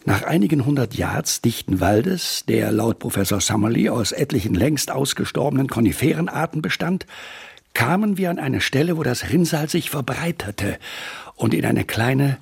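A very husky, creaky-voiced newspaper boss in a radio play. He is blunt, impatient and scornful, bossing others around in a gruff, exasperated manner. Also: mid-range voice, expressive, moderate pace, heavily acted. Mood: reading aloud. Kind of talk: German radio drama acting.

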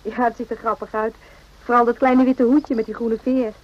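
An actress playing a playful, flirtatious, self-assured army doctor in a radio drama, with a light, teasing manner. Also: female voice, a little high, flat delivery, normal speed, close to an everyday voice. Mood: light, amused. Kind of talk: radio drama acting in Dutch. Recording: dull radio recording, faint hiss.

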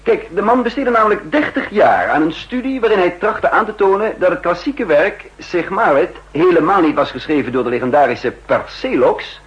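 An actor playing a composed double agent who narrates his own story in a measured, introspective way, in a 1960s radio drama. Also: sits high, animated, breathy edge. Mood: wry. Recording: muffled old 1960s radio recording.

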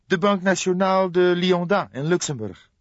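A man's voice: sits high, moderate pace, no room sound, muffled recording, pitched above the performer's own voice, close to an everyday voice. A man voicing an eager, inquisitive young police detective in an audiobook.

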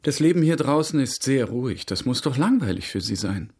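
A well-mannered gentleman suitor in a radio drama. His voice is husky and rough-edged, his manner understated, curious and gently flirtatious. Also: mid-range voice, very animated, fairly quick. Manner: polite, probing, understated. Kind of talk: German radio drama acting.

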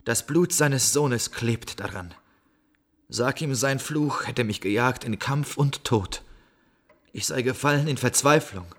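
A man playing a poor soldier bearing grim news in a radio drama, husky, grave and declamatory. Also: mid-range voice, slow pace, a bit bright. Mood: dramatic recounting. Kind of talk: theatrical radio drama storytelling.

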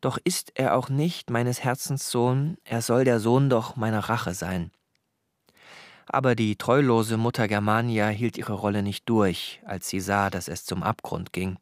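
A man's voice: on the low side, fairly even intonation, a little slow, no room sound. Dry-recorded man reading literary prose. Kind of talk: scripted literary narration.

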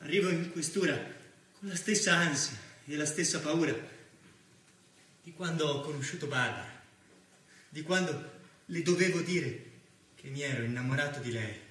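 A male actor plays a scared but driven journalist in a stage drama. His voice is fairly high and sharp, urgent and confiding. It echoes heavily around the theatre over faint noise. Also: normal speed, long pauses, lightly acted. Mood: tense, troubled. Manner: anxious, urgent, confiding narration. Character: frightened, driven investigative journalist, a man in love. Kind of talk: stage play monologue and dialogue.

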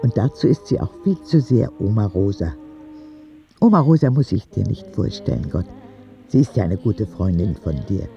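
A man's voice: fairly low pitch, big pitch swings, moderate pace, creaky, warm tone, muffled recording, soft background music. A man playing an earnest, candid ten-year-old sick boy who narrates his letters in a radio drama. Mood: candid, wistful.

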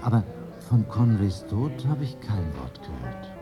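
A man's voice: fairly low pitch, sing-song, a little slow, highs cut off, loud music behind the voice. An actor playing an educated male medical author in a radio play, asking questions in an articulate, conversational way. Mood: reflective. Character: educated medical author, curious, questioning old school friend. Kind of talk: 1980s German radio play dialogue.

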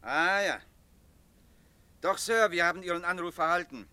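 A by-the-book guard in a radio drama, high bright voice, polite but wary. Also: male voice, normal speed, smooth. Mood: wary, formal. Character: cautious, rule-bound male money transport guard. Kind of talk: German radio play acting.

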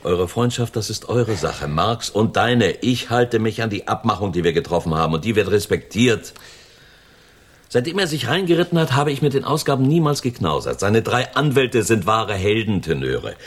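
Calculating crook in a radio crime play, raspy, really animated, lectures hard. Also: male voice, on the low side, moderate pace. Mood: cold, irritated. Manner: emphatic, lecturing, precise. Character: hard-nosed, calculating male gang member in a crime drama. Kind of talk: German radio crime drama acting.

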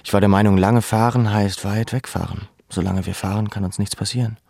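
A man playing a camp survivor who recalls his deportation in measured, sober, reflective first-person narration. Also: lowish voice, steady tone, vocal fry, darkish tone, no room sound, clean studio sound. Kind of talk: literary first-person narration of an audio drama.

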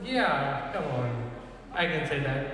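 A man's voice: medium pitch, expressive, takes it slowly. A young man from North India with an Indian accent performs stand-up comedy, bantering with the audience in a dry, teasing way. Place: echoing church hall at a festival. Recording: distant mic, very echoey hall, audience noise.

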